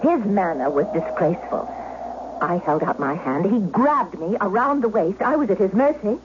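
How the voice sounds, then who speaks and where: A woman's voice: mid-range voice, expressive, moderate pace, natural voice. An actress playing an anxious, pleading noblewoman in an old-time radio drama, with formal, beseeching diction. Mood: distressed. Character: pleading, anxious noblewoman defending herself to jealous husband. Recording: dull, noisy old radio recording.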